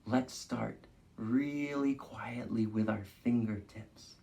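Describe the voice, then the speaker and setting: A man's voice: medium pitch, fairly even intonation, slow pace, gravelly, a little mellow. A man working as an educator, leading an interactive storytime for young children with simple guiding phrases and questions. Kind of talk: interactive children's read-along with movement prompts.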